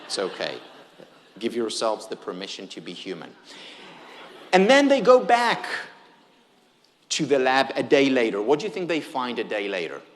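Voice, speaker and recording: Lively man lecturing in a hall. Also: medium pitch, moderate pace, long pauses. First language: Hebrew. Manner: animated, engages the audience with questions and humor. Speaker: keynote speaker. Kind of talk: conference keynote lecture.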